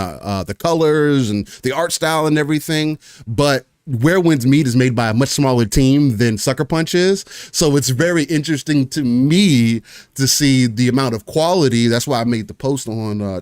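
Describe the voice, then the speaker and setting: A man chatting about games on a podcast, his voice mid-pitched and ordinary. He rambles, restarts sentences and fills gaps with ums. The sound is dry and close, with no room around it. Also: a little mellow, dry recording. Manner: rambling, many fillers and restarts. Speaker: podcast host. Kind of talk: casual gaming chat.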